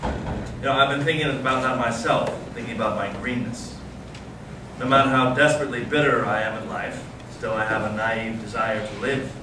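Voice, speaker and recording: A man playing a bitter, intense young intellectual brother, reading deliberately and earnestly in a staged reading. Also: mid-range voice, steady tone, close to an everyday voice. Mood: wistful, passionate. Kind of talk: staged readers theater reading. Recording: echoey room, distant mic, noisy amateur recording.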